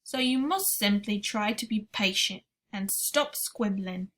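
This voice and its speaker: A gentle old giant in a storybook, as a woman reads him aloud. He speaks slowly and loudly, stretching out each word of his muddled, mangled grammar. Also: natural voice. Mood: earnest. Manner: slow, booming, mangled words.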